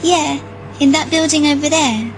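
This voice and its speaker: A woman's voice: high voice, normal speed, over music. A woman playing a timid, earnest young girl in an audio drama, with a hesitant, childlike and plaintive manner.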